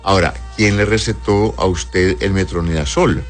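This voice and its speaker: A man's voice: deep voice, normal speed, soft background music. A man working as a doctor, reading listeners' health questions aloud and answering them in a live advice show, with speech and affectionate words for his callers. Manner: reads viewers' messages aloud, answers tenderly, calls them "corazón".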